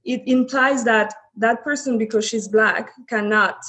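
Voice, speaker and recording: Slow, halting woman. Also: on the high side, fairly even intonation, a bit bright. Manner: halting, many restarts and fillers. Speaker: panelist. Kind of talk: discussion panel.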